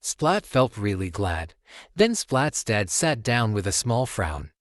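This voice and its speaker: A man's voice: lowish voice, sing-song, slow pace, no echo, clean studio sound. A man narrating a children's storybook expressively as a storytime reader.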